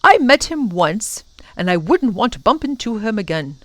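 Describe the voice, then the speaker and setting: Woman playing a fussy, animated old professor. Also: medium pitch, moderate pace, no echo, lightly acted. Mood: cautionary. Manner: oh-and-ah exclamations, explanatory, donnish. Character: kindly, fussy, easily flustered older male professor.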